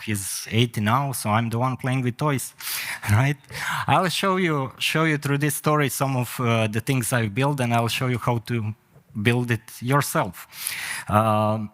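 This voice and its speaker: A middle-aged man from Croatia with a Croatian accent, giving a conference talk with many hesitant fillers. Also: medium pitch. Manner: hesitant, frequent "uh" fillers, non-native phrasing. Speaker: conference speaker. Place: conference hall.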